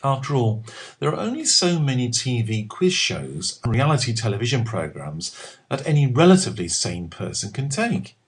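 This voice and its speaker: A middle-aged man with an English accent, reading a scripted personal essay in a deliberate, expressive manner with measured phrasing. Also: mid-range voice, breathy edge. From England. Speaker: writer reading own column.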